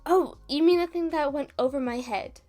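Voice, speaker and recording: A woman playing a rambling, self-deprecating roommate in a casual ASMR roleplay, talking in a halting, defensive, conversational way. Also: sits high, a little mellow, soft background music, lightly acted. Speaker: audio roleplay performer and script writer.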